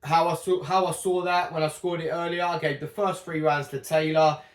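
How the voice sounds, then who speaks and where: A man's voice: fairly high pitch, little pitch movement, moderate pace. A young man chatting casually and rambling through a fight prediction, correcting himself often, his voice a little worn. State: slightly losing his voice. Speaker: boxing channel host. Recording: echoey room.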